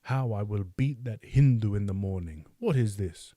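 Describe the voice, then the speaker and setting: A man voicing a proud, quick-tempered teenage boy in an audiobook, speaking in indignant, boastful outbursts. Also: on the low side, warm tone, dry recording, clean studio sound, lightly acted. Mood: angry. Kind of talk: audiobook character voice.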